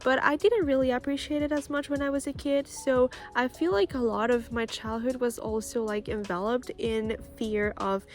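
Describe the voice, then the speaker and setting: A woman's voice: fairly high pitch, moderate pace, few pauses. A woman in her early twenties from Costa Rica, a native Spanish speaker, talks casually and earnestly to camera as she shares a personal story outdoors. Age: early 20s. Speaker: vlogger. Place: outdoors, school playground near a road. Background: music, possible passing cars and birds.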